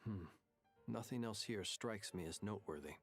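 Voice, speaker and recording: Gravelly, stoic, formal man, played by an actor. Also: lowish voice, moderate pace, natural voice. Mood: reserved. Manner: deadpan, formal diction. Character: stoic, formal, laconic man of few words. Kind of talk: video game voice acting. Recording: music behind the voice.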